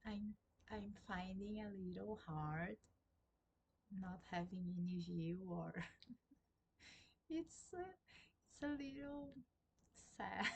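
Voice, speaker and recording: Slow, husky woman with a Portuguese accent. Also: medium pitch. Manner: hesitant, many fillers and restarts, soft laughs. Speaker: book vlogger. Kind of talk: casual chat to camera. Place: at home in sunlight.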